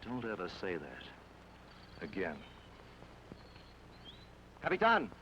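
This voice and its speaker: An actor playing a brooding outlaw leader who gives curt, cold commands, in old radio drama style. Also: male voice, low-pitched, huge pitch swings, slow, bright, forceful tone, slight background noise, heavily acted. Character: brooding, commanding outlaw man, quick to anger. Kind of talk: 1940s radio acting. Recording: dull old radio broadcast.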